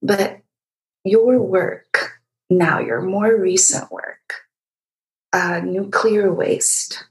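Slow, reflective woman interviewer from Egypt. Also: mid-range voice, fairly even intonation, poor audio. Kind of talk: literary interview conversation.

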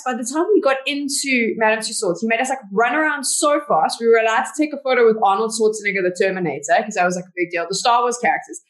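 A woman's voice: fairly high pitch, poor audio. A woman with a South African accent, a podcast guest telling stories in a chatty way with lots of fillers. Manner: chatty storyteller, many "like" fillers. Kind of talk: casual interview chat.

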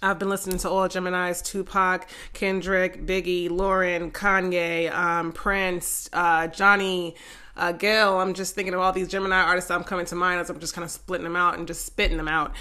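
A woman hosting a casual solo podcast from her living room, raspy and congested from a head cold, sassy and slangy with frequent laughs. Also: fairly low pitch, little pitch movement, moderate pace, sharp tone. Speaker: podcast host.

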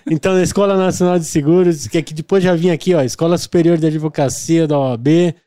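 A man hosting a podcast, joking and enthusiastic, who praises his guest and throws in quick asides. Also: a little high, fairly even intonation, dark tone, no room sound. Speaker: podcast host. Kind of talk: casual podcast interview.

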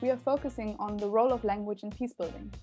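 A woman's voice: mid-range voice, steady tone, quick pace, mellow, soft background music. A woman co-hosting a podcast, delivering a scripted intro in a friendly, upbeat way. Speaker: podcast co-host.

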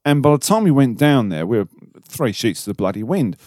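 A man's voice: medium pitch, big pitch swings, a little fast, a little mellow, no room sound. Self-mocking British man, dry close mic. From Britain. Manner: chatty, self-mocking, laughs while telling stories. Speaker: co-host. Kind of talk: casual podcast chat.

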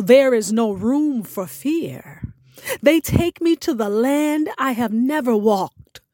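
A woman hosting a podcast, speaking in scripted, deliberate and theatrical phrases as she presents and recites. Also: fairly high pitch, no room sound, clean studio sound. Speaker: podcast host. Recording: music behind the voice. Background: music.

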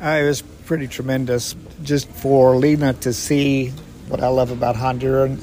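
A man's voice: mid-range voice, level tone, moderate pace, darkish tone, slight background noise. Reflective grandfatherly man in his 60s. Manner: reflective, heartfelt. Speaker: mission trip volunteer. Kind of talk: podcast interview.

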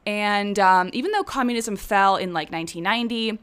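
Female vlogger, 30s, from the Czech Republic. Clean, smooth tone, medium pitch, chatty and unguarded storytelling. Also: a little fast, a bit bright. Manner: chatty, candid, rambling, swears casually. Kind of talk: casual personal storytelling to viewers.

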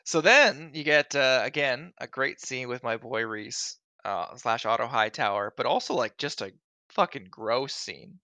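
Bright-voiced excitable man. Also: medium pitch, a little slow, dry recording. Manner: excitable, acts out quotes with big swings in loudness. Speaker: podcast co-host. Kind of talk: casual chat.

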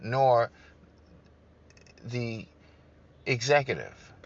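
A man's voice: mid-range voice, extremely slow, dull audio. A man giving an emphatic political talk to his online audience, repeating his points and calling listeners "guys". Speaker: political speaker on a broadcast.

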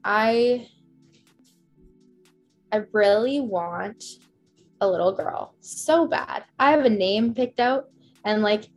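A woman's voice: mid-range voice, slow pace, pauses often, soft background music. Chatty young woman, heavy with "like". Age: 20s. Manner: chatty, rambling, heavy use of "like". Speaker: podcast guest. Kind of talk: casual podcast chat.

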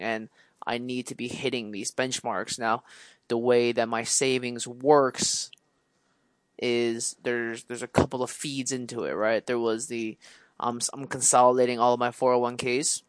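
A man in his twenties, co-hosting a podcast in casual chat, talking at length with restarts and quick laughs. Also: medium pitch, dry recording. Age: 20s. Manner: chatty, rambling with restarts and laughs. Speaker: podcast co-host.

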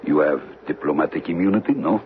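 A man's voice: a bass voice, fast talker. An actor playing a suave, scheming villain with a foreign accent, choosing his words with courtly precision in an old radio drama. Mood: smooth, calculating. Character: suave, scheming foreign villain who deals in stolen art. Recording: muffled, noisy old radio broadcast.

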